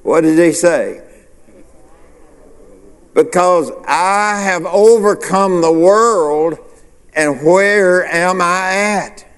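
A male pastor preaches slowly, with a slightly high voice. He asks the congregation questions and answers them himself. His voice rings through a reverberant church hall. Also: fairly bright tone, smooth. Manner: preacherly call-and-response, rhetorical questions. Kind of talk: sermon.

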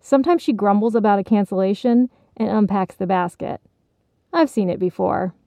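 Woman doing a bitter, gravelly male soap basket. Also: mid-range voice, moderate pace, mellow, no echo, clean studio sound, lightly acted. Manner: curt, world-weary.

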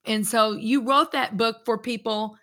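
Enthusiastic woman host, bright voice. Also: fairly high pitch, fairly even intonation, a little slow. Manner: enthusiastic, encouraging. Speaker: podcast host. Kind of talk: casual interview talk.

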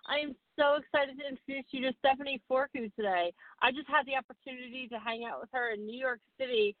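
A woman's voice: high voice, fast talker, no echo. Tinny phone-line woman podcast host, gushing. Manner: gushing, excited. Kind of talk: podcast interview. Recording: muffled phone-line sound.

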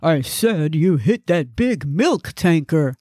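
Give this a tall policeman acted by a woman, slow and steady in delivery. He sounds official yet solicitous, as in a comic audiobook. The recording is dry. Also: low-pitched, breathy edge, no room sound, exaggerated voice. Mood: firm. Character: deep-voiced, tall, concerned male police officer. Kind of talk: comic audiobook character acting.

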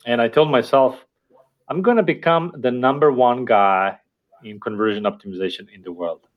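Podcast guest, male, Estonian native, reflective and halting, mid-range voice. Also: mid-range voice, phone-quality sound. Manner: thoughtful, hesitant with fillers and restarts. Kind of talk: casual interview talk.

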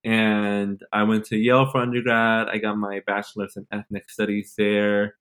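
Low-voiced, playful young man chatting. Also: little pitch movement, normal speed, fairly bright tone, clear, resonant tone. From born in Mexico, grew up in Los Angeles and Nashville. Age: 20s. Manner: informal, playful, slangy, peppered with "like". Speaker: podcast guest, teacher. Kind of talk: casual conversational interview.

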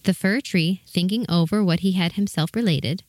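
A woman's voice: fairly low pitch, normal speed, a little mellow, dry recording. A woman giving scripted narration of a children's storybook tale.

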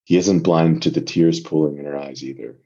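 A man's voice: rumbling low, fairly even intonation, a little fast, mellow, breathy edge, muffled recording. A man reading and talking as a guest story reader, in narration and casual discussion.

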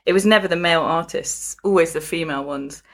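A woman's voice: low voice, fast talker. A woman reading a story aloud in a casual, amused way, giggly and breaking off to comment like a podcast host.

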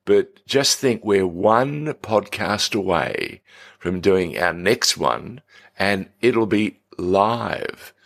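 A man's voice: lowish voice, lively intonation, drawn-out words, gravelly, fairly bright tone. A middle-aged man, a playful, teasing podcast co-host who chats casually. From Australia. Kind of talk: casual chat.

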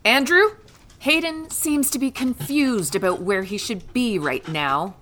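A woman's voice: fairly high pitch, a little slow, a bit bright, a little hiss or hum. An actress playing an imperious, cold-hearted mother in an audio drama, commanding and condescending. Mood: icy, scheming. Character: imperious, cold-hearted mother and mansion matriarch, wheedling and cruel.

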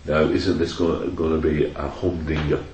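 A man's voice: a bass voice, flat delivery, normal speed, darkish tone. A man hosting a casual football chat, rattling off stats and tag questions. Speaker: podcast host. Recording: echoey room, faint noise.

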